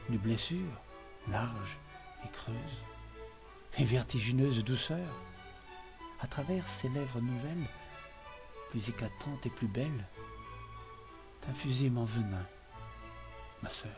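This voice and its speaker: A man gives a dramatic, literary poetry reading, declaiming phrase by phrase with expressive emphasis. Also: medium pitch, takes it slowly, pauses often. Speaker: poetry reader. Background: music behind the voice.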